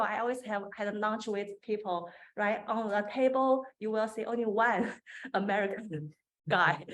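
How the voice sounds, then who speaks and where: A woman's voice: on the high side, rough recording. A woman with a Chinese accent, speaking Chinese natively, giving earnest career advice on a panel and laughing often. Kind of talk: panel discussion, personal advice. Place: conference room.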